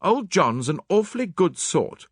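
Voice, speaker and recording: Man playing a hesitant, earnest gentleman. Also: lowish voice, wildly swooping pitch, moderate pace, no echo, clean studio sound, exaggerated voice. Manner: tentative, slightly awkward. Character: earnest, hesitant English gentleman, loyal and easily flustered. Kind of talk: dramatised audiobook reading.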